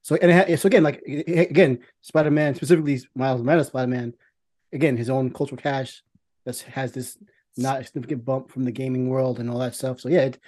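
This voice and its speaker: Guy chatting on a podcast, talks really fast, rambles and doubles back, mid pitch. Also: fairly even intonation, a little mellow. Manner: rambling, repetitive, profane. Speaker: podcast co-host. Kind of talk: casual chat.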